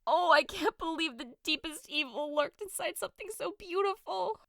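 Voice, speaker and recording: A woman's voice: very high voice, expressive, moderate pace, a bit bright, dry recording, clean studio sound, full character voice. A woman playing a passionate, desperate young newlywed noblewoman in an audio drama, declaiming theatrically. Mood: outraged, torn. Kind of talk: audio drama acting of modernized Shakespeare.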